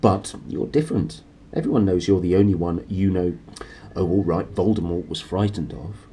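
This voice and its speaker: A man's voice: low-pitched, moderate pace, mellow, slight background noise, natural voice. A man voicing a severe, impatient older woman professor in a children's storybook, prim and clipped. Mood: exasperated, admiring.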